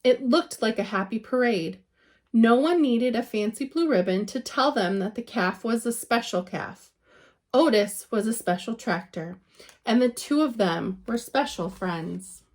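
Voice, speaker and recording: A woman reading a children's picture book aloud in an expressive storytelling style, with dramatic emphasis and drawn-out words. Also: a little high.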